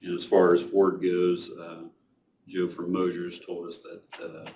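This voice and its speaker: Flat, low-voiced man explaining hesitantly. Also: measured pace. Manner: flat and hesitant, with many fillers. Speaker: county staff explaining background. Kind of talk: explaining at a public meeting. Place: county meeting room. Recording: echoey room, distant mic, clear hiss.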